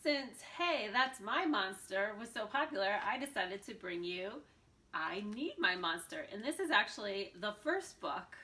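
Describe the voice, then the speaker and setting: A woman with a mid-pitched voice reading aloud for children in a bedtime storybook style. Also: sharp tone. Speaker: school staff reading aloud.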